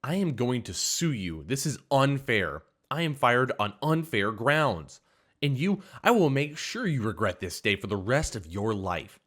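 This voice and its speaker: A man voicing an entitled, spiteful older woman server who scolds and rants loudly. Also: huge pitch swings, moderate pace. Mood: shrill indignant. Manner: shrill, scolding, indignant.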